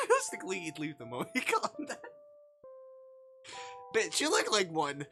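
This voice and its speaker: Animated, irreverent male streamer, bright voice. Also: fairly high pitch, moderate pace, soft background music. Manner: playful, irreverent, giggly. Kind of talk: casual live visual novel playthrough commentary.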